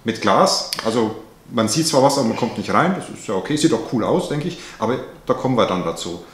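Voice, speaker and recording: A man in his forties in a middle pitch, telling stories with dry, joking asides. He sounds relaxed and a bit teasing, in a room with noticeable echo. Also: fast talker. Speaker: video host. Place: indoor room on a sofa.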